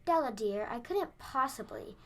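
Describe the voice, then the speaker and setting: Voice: high voice, animated, moderate pace, fairly bright tone. A child of about ten acting as a kindly, hard-up widowed mother in a school radio play. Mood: apologetic, consoling. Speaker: fourth grade student actor.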